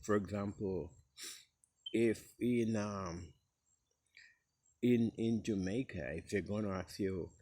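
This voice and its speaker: A man with a Costa Rican Caribbean English accent talks in a slow, unhurried, casual storytelling way. His voice sits fairly low, and he jumps higher when he mimics other people. Faint insects chirp behind him. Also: a little hiss or hum. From Costa Rica, Caribbean coast. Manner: relaxed, acts out quoted speech in a higher voice.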